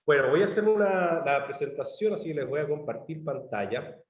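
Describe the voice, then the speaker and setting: A man's voice: on the high side, expressive, roomy sound, highs cut off. Chilean man presenting on a video call. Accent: Chilean Spanish. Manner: measured, with frequent fillers and restarts. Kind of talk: virtual conference presentation. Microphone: computer mic on a video call.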